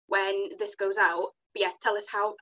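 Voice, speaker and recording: A woman hosting a podcast, chatting casually and warmly affirming her guest with enthusiastic interjections. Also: mid-range voice, little pitch movement, normal speed, poor audio. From Africa. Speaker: podcast host.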